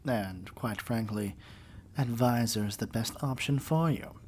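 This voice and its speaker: A man playing a haughty, menacing evil king in an ASMR roleplay, speaking in a pompous, deliberate way full of disdain. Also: mid-range voice, a little mellow, a little hiss or hum, natural voice. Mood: silky menace. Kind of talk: theatrical ASMR roleplay monologue.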